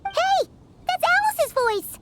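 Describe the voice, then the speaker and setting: A woman voicing a small, chirpy, childlike fairy companion in an anime-style video game, exclamatory and excitable. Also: extremely high pitch, takes it slowly, very noisy, exaggerated voice. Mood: excited, whiny. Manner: exclamatory, refers to self in third person.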